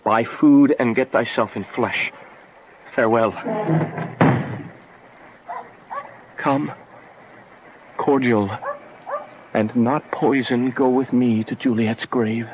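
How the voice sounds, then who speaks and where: A man's voice: medium pitch, steady tone, takes it slowly, pauses often, close to an everyday voice. An actor playing a lovesick, impulsive young nobleman in a declamatory, poetic Shakespearean radio drama. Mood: grimly resolved. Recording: faint background noise.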